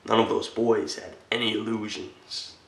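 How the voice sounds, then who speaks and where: A man acting a solemn military veteran in a dramatic film monologue, telling an earnest story to a little boy with deliberate, halting phrasing. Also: on the low side, steady tone, creaky, a bit bright, far from the mic. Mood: hushed, grave. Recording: echoey room.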